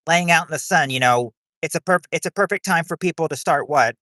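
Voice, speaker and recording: A man's voice: fairly high pitch, fairly quick, pushed and bright, dry recording. Rambling male livestream host, dry sound. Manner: rambling, reads chat aloud and greets viewers. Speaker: livestream host playing a quiz game. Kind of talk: casual livestream chat.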